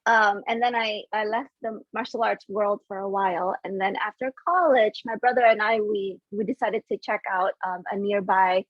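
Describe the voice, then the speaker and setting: A woman guest whose first language is Indonesian, friendly and laughing often in a relaxed chat over a video call. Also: medium pitch, moderate pace, hardly any pauses, no room sound. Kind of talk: casual interview chat. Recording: muffled lo-fi stream audio.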